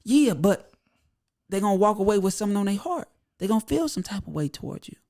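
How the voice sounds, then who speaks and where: A man talking as a podcast guest who has a high-pitched voice, speaking bluntly and repeating his points for emphasis. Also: big pitch swings, fast, vocal fry, a little mellow. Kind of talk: casual podcast conversation.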